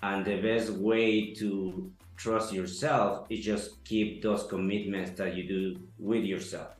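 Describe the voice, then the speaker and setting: A man from Ecuador, giving an earnest, halting testimonial interview full of fillers. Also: low-pitched, steady tone. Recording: echoey room, soft music behind.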